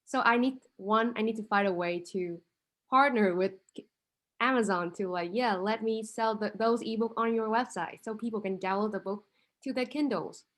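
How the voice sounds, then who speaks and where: A woman speaks English as her at a middling pitch. She talks slowly and hesitantly, thinking aloud and filling her pauses with "um" and "like". Her voice comes through a video call. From Vietnam. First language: Vietnamese. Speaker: international student with a startup idea. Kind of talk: casual conversation.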